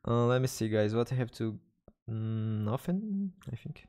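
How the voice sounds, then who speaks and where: A man's voice: mid-range voice, lively intonation, dark tone. A young man with a Brazilian Portuguese accent, talking to his live-stream chat while he plays. His English is his second language, so he often stops, repeats himself and hunts for the right word. He speaks into a desk microphone in a small room. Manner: halting non-native English, restarts and searches for words. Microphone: studio mic on arm, headphones.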